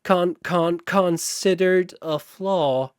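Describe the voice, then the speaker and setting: Guy doing polite android officer, kinda high, precise n formal, curious, no emotion, sci-fi story. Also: very slow, clean tone, no echo, clean studio sound. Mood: failing.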